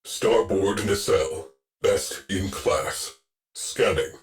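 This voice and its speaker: A man's voice: lowish voice, slow. A man playing a stern, weary veteran military ship AI in a video game, giving terse, solemn mission briefings. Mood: terse, businesslike. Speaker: mission control and ship intelligence.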